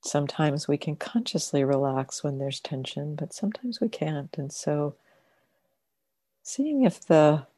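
Female meditation teacher, deep and soft-toned, gentle, unhurried phrasing with generous pauses. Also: no echo, clean studio sound. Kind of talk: guided meditation.

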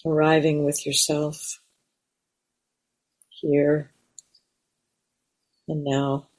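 Lady doing guided meditation, really slow and gentle, long pauses, barely any pitch movement. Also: level tone, low-quality recording. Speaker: meditation teacher. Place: meditation center.

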